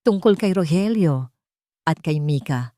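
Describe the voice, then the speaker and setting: A woman voicing a worried, gentle older mother and grandmother in a story, earnest and careful in manner. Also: deep voice, expressive, measured pace, dry recording, studio quality. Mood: gentle worry. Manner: hesitant, earnest.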